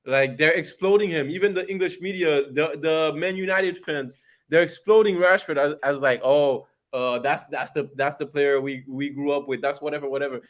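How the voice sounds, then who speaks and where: A young man with a Haitian accent, co-hosting a podcast and arguing about football with animated energy. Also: fairly high pitch, moderate pace. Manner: animated, laughs and cuts in. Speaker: podcast co-host. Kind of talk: casual football debate.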